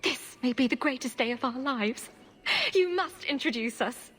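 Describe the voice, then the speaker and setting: A woman playing a diplomatic, polite noble heroine in a fantasy game, courteous and measured. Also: high voice, expressive, fairly bright tone, husky, exaggerated voice. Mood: gushing pretence. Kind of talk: fantasy game dialogue acting.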